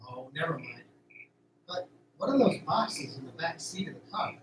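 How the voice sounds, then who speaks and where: Male actor for earnest, puzzled young farm lad, higher pitch, radio play with roomy echo. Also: sing-song, normal speed. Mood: earnest, curious. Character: bewildered, earnest young male farmhand hero. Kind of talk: amateur radio drama acting. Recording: echoey room, faint background noise.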